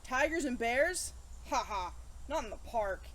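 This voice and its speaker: A woman's voice: a little high, expressive, measured pace, fairly bright tone. A woman voicing a small young storybook pixie girl, timid but determined to be brave, in a childlike, exclamatory manner. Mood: frightened.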